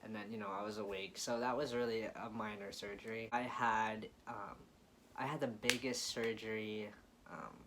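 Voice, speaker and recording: A man's voice: fairly low pitch, flat delivery, moderate pace. Young american guy doing a chatty vlog, informal, repeats stuff for emphasis, some background noise. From Florida, United States. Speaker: vlogger. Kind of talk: casual chat to viewers.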